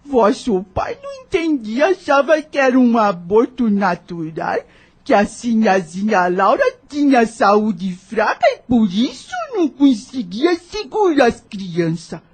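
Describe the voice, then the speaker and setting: A Brazilian woman playing an old, motherly enslaved nursemaid in an audio drama, husky and weathered, telling things solemnly in rustic folk speech. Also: fairly high pitch, big pitch swings, a little slow, heavily acted. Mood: solemn, sorrowful.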